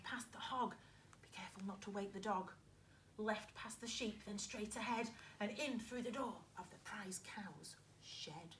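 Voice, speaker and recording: A woman voicing two scheming male robbers from a children's story in a hushed, secretive whisper. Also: mid-range voice, a little hiss or hum, lightly acted, low-quality recording.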